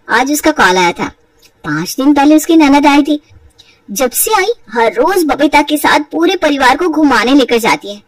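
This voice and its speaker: A woman's voice: sits high, no room sound, recorded from a distance, low-quality recording. A woman voicing a shrewd young daughter-in-law in a cartoon moral story, speaking politely but pointedly. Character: shrewd young daughter-in-law, sweetly sly, weary of taunts.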